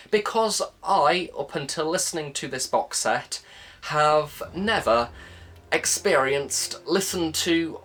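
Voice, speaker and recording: A man's voice: mid-range voice, a bit bright. Chatty, emphatic man reviewing. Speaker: review channel host. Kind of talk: enthusiastic scripted audio drama review with casual asides.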